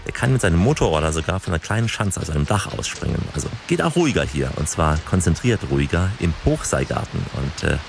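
A man's voice: sits low, big pitch swings, fast talker, creaky, hardly any pauses, over music. A man from Germany, reporting and interviewing conversationally as a travel host.

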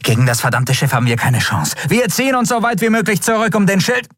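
A man acts as a fierce female commander in a fantasy war audiobook. She barks urgent, defiant orders in a raised, husky voice that swoops sharply. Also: sits high, expressive, fast talker, a bit bright, dry recording, clean studio sound, voice pushed up. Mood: angry, urgent.

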